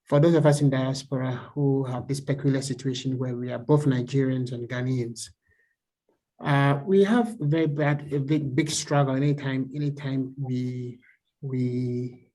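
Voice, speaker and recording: A man's voice: medium pitch, mellow, low-quality recording. A man in an online discussion asking questions, hesitant and repeating words as he builds his point. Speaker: forum participant asking questions.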